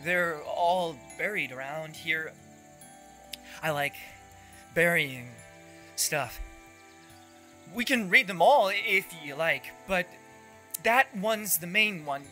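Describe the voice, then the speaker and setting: A man's voice: a little high, takes it slowly, long pauses, pushed and bright, soft background music. A man playing an eager, awkward, lovestruck amateur poet in a horror audio drama, earnest and nervous.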